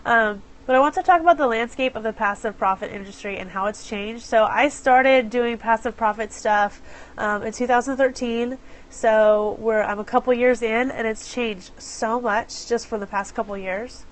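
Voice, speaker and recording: A woman with a fairly high voice, congested and stuffed up from a cold. She chats casually into her phone on a live stream, apologizing often and greeting viewers by name. Her delivery is loose and conversational. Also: normal speed, rough recording. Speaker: live stream host for small business owners. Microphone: phone camera.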